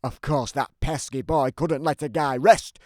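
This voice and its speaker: A man's voice: medium pitch, fairly even intonation, moderate pace, a little mellow, dry recording, studio quality, close to an everyday voice. A man voicing a big, grumpy, always-hungry giant who tells his side of a children's storybook in a theatrical, emphatic way. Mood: grumbling storytelling. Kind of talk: scripted children's storybook narration.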